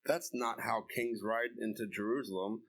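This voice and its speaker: A man's voice: lowish voice, fairly even intonation, moderate pace, close to an everyday voice. A man playing a skeptical townsman who keeps questioning, in an amateur church dramatic reading. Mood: doubtful. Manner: doubting, questioning.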